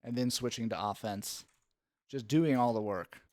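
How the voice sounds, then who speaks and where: A man's voice: mid-range voice, moderate pace, a little mellow, no echo, studio quality. A man giving analytical play-by-play sports commentary in an instructive, explanatory way.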